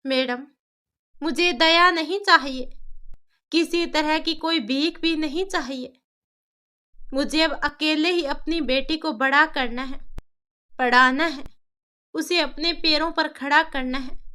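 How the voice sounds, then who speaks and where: Lady doing a sad but determined young mom from a village, cartoon story, slow n earnest, bright voice. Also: high voice, pitched below the performer's own voice, heavily acted. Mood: pleading, determined. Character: sorrowful, determined young village mother, pleading yet dignified.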